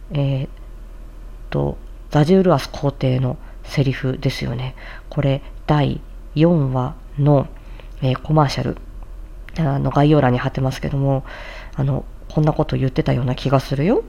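A woman's voice: low-pitched, creaky, dark tone, lo-fi sound. A woman who hosts a tongue-twister challenge like a voice actor, moving between carefully enunciated theatrical recitation and polite, chatty commentary. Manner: polite, chatty asides to viewers.

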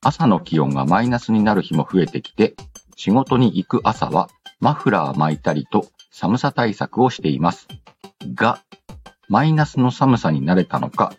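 Very deep-voiced man, casual radio host. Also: big pitch swings, normal speed. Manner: playful, slangy, self-interrupting asides. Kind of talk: casual chat, reading listener letters. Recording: dull lo-fi sound with music behind. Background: background music.

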